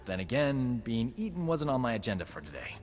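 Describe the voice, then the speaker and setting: A man's voice: mid-range voice, very animated, fairly quick, faint music behind, close to an everyday voice. Man acting a wry rebel field doctor in reverb. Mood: nervous bravado. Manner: wry, flippant. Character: wisecracking, dedicated male rebel field doctor. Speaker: Resistance field doctor character. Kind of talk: video game cutscene acting.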